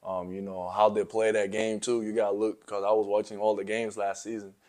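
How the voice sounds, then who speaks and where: A man's voice: on the low side, little pitch movement, brisk, a little mellow. A young man from South Sudan, a college basketball player, answering interview questions in a laid-back way, full of "you know" and "um". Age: young adult.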